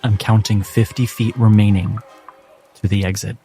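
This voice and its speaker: A man narrating a tabletop game as game master, with deliberate, dramatic phrasing. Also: fairly low pitch, little pitch movement, mellow. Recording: music behind the voice. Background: clear music.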